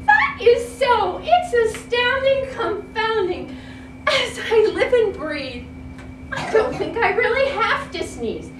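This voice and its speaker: Storybook elephant, acted by a woman: big, apologetic, skittish, very high swooping voice, roomy sound. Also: sing-song, slow, heavily acted. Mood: amused, relieved. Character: big apologetic, easily frightened, giggly elephant. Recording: roomy, noisy classroom, distant mic.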